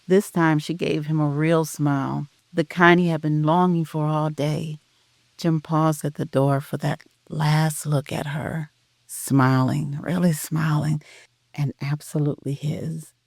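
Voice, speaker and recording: A narrator reading a scripted audio drama in a solemn, sentimental, deliberate way. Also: mid-range voice, flat delivery, creaky, darkish tone, no echo.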